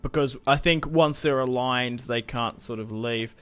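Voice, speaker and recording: A man's voice: medium pitch, measured pace, fairly bright tone, clean tone. A young man casually commentating a strategy game he plays, chatty and rambling, swearing now and then. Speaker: gaming YouTuber. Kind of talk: casual let's-play commentary.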